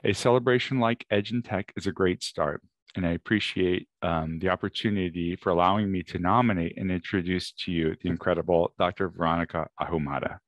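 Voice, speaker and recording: A middle-aged man, a professor, giving a formal, measured award presentation speech. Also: sits low, steady tone, no room sound.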